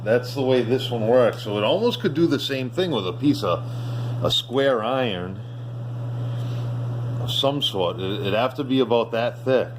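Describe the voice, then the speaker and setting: A man's voice: mid-range voice, lively intonation, moderate pace. A man giving a casual, unscripted hands-on walkthrough as a hobbyist, rambling as he thinks aloud. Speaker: amateur radio hobbyist. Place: indoor room with bare concrete floor. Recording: roomy echo, clear background hum.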